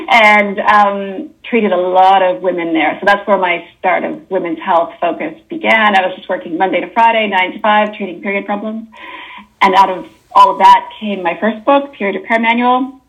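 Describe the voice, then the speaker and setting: A woman's voice: medium pitch, low-quality recording. A woman in her fifties with a Canadian accent, a guest naturopathic doctor chatting casually in an interview, often correcting herself mid-sentence. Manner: chatty, with self-corrections and soft laughs.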